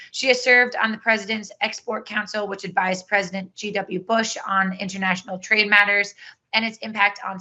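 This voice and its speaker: A woman host reads a formal introduction of a speaker at a steady pace. Her voice is bright and crisp at mid pitch. The sound is dull and lo-fi. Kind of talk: read introduction.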